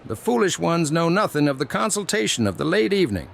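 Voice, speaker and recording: A man voicing a plump old gnome elder with a creaky voice and quaint, deliberate, formal phrasing, in a fantasy audio story. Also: fairly high pitch, sing-song, fairly bright tone, a little hiss or hum, exaggerated voice. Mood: quirky, earnest.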